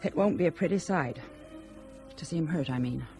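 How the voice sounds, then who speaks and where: An actress playing a proud, guarded professional woman, brisk and earnest, in a radio drama. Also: deep voice, warm tone, soft background music. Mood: guarded, wry.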